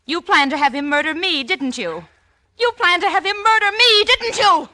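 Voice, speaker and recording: An actress playing a betrayed, coldly accusing wife with pointed, dramatic delivery in a 1940s radio play. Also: female voice, very high-pitched, lively intonation, moderate pace, bright, forceful tone, exaggerated voice.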